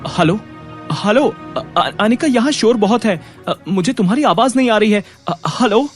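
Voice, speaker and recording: Humble young man, kinda high voice, stammery and evasive, audio drama, faint music. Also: animated, moderate pace. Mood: flustered.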